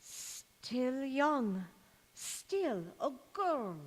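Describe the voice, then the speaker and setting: A loyal, tender older confidante in a vintage radio play, acted by a woman. She talks slowly and fondly, her voice carrying long hall reverb. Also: sits high, big pitch swings, exaggerated voice. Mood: moved, tender. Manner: fond, sympathetic. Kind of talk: 1940s radio drama acting on stage.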